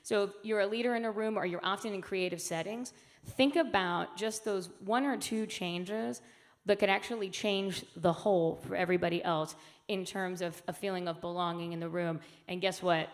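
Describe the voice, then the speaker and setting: A woman's voice: fairly low pitch, fairly even intonation, normal speed. A woman speaking earnestly at a conference, with emphatic phrasing and frequent fillers. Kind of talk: keynote talk. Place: conference hall.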